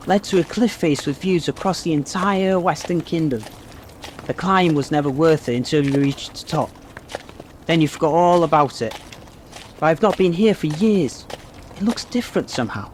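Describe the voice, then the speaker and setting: An actor playing a dutiful, mild, put-upon young knight in a comic radio play, polite and earnest. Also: male voice, fairly high pitch, slight background noise, close to an everyday voice. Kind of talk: radio play comedy acting.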